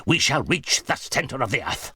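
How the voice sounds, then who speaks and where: An actor playing an excitable older professor uncle in an audiobook drama, speaking in an emphatic, pompous, declamatory way. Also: male voice, mid-range voice, moderate pace, breathy edge, no room sound, clean studio sound, exaggerated voice. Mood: lecturing, assured.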